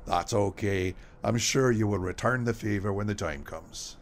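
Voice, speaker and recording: A man's voice: fairly low pitch, normal speed, slight background noise, lightly acted. A man playing a weary, good-humored dockworker husband in a radio play. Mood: genial. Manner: folksy, teasing.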